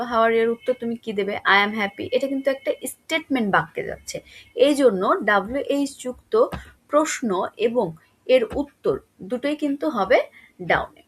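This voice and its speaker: A woman's voice: a little high, breathy edge. A woman teaching schoolchildren in an explanatory, instructive way, often asking questions and answering them herself. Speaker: English grammar teacher.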